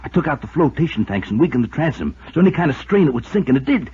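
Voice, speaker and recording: A man's voice: fairly high pitch, very animated, fast, darkish tone, husky, heavily acted. An actor playing a desperate, unhinged lovesick man confessing to murder in a 1950s radio drama, pleading, agitated and stammering. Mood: anguished confessing. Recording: muffled tinny old radio recording.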